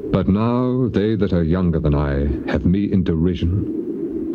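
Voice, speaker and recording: A grieving, dignified old patriarch in a dramatized scripture recording. His low voice declaims in measured poetic phrases that rise and fall widely. The sound is an old cassette, thick with noise. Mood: bitter, grieving. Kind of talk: dramatized scripture reading, theatrical classical acting. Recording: dull, very noisy old cassette tape.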